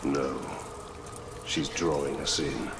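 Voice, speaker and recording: An actor playing a grim, resolute ranger captain in a video game, stern and terse. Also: male voice, extremely low pitch, steady tone, slow pace, very noisy. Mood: wary, grim. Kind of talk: video game character acting. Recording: loud cinematic music behind the voice.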